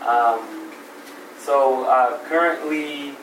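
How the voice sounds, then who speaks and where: A man's voice: medium pitch, very slow, fairly bright tone. A man in his thirties from Brazil, giving an informal career talk to students in a casual, hesitant way. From Brazil, grew up in Fall River, USA. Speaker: guest speaker, programming graduate. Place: indoor room with an audience. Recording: echoey room, distant mic, background noise.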